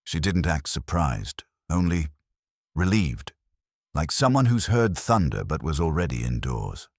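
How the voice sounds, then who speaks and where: Man voicing a prim, sniffy English landlady, low-pitched. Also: very animated, measured pace, no room sound, clean studio sound, heavily acted. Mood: guarded, observant. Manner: clipped, terse fragments, haughty. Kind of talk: dramatised mystery audiobook.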